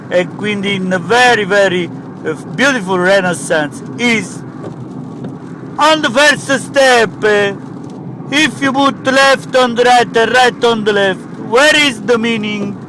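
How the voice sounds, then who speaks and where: A man's voice: high-pitched, background noise. A man with an Italian accent playing a rambling, pompous professor in broken English.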